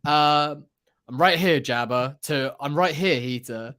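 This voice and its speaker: A man's voice: on the high side, measured pace, a bit bright, smooth. A man giving his opinions in a casual, conversational film discussion as a podcast co-host.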